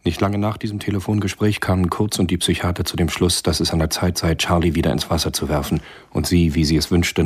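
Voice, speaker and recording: An actor narrating a spy thriller radio play as a grave storyteller, with a rough, husky voice and a measured, sober delivery. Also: male voice, deep voice. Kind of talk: scripted radio drama narration.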